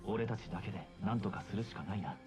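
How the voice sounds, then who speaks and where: A man's voice: on the low side, very fast, close to an everyday voice. An actor playing a serious, guarded young male adventurer in a game, with a terse and grave manner. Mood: grave, wary. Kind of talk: Japanese game voice acting. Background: game music.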